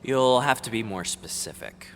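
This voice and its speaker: A man's voice: medium pitch, expressive, moderate pace, a bit bright, slight background noise. A man playing a smug, taunting vampire villain in an audio drama, with a mocking, theatrical drawl. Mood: silky menace.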